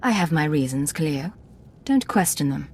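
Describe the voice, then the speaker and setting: An actress playing a resolute imperial secret agent in a video game, cool, clipped and controlled. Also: female voice, deep voice, expressive, normal speed, darkish tone, husky, exaggerated voice. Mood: guarded.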